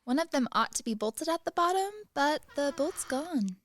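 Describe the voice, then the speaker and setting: A woman's voice: sits high, expressive, moderate pace. A woman acting in a radio play as a plain-spoken young maidservant. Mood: calm, obliging. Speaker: actor in a student radio play.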